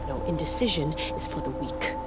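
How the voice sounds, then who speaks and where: An actress playing a fierce, ambitious young woman who leads a rebel army in a video game cutscene. She speaks in a forceful, impassioned and persuasive way. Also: deep voice, fairly quick, over loud music, close to an everyday voice. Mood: frustrated. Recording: loud game score behind.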